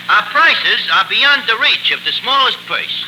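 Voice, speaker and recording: 1940s radio comedy: bumbling tavern manager, pompous malapropisms, very high voice, heavy broadcast noise. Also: male voice, lively intonation, moderate pace. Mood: mock-grand announcing. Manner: pompous malapropisms, fumbling delivery. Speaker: host.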